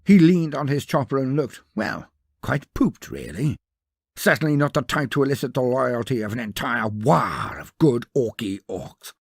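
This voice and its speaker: Animated male storyteller, husky and dry. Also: mid-range voice, moderate pace, no room sound. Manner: theatrical, florid, wry. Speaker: storyteller narrator. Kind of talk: dramatic scripted fantasy narration.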